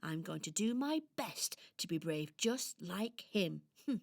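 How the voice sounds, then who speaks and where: A woman's voice: fairly low pitch, expressive, measured pace, vocal fry, no echo, clean studio sound, voice pushed down, heavily acted. A woman playing a timid, earnest young female hero who is trying to be brave, hesitant and wondering, in a children's radio play. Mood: determined.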